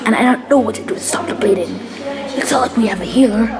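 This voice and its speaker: A woman's voice: on the high side, fast talker, creaky, over music, voice pushed up. Woman voicing an indignant wounded male dragon. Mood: distressed. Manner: indignant, sarcastic. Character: wounded male dragon, furious, bitter and whimpering.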